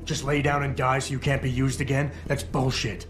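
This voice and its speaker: An actor playing a tough, unyielding video game hero who talks in a terse, controlled, confrontational way. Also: male voice, medium pitch, flat delivery, normal speed, soft background music, natural voice. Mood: stern, challenging. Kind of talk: dramatic video game voice acting.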